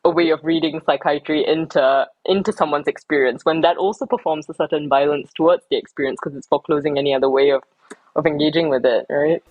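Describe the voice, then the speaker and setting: A woman's voice: sits low, fairly even intonation, fast talker, darkish tone, no echo. A woman from Singapore speaking as a researcher guest in a podcast interview, reflective and academic, with frequent ums and restarts. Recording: slightly dull, dry recording.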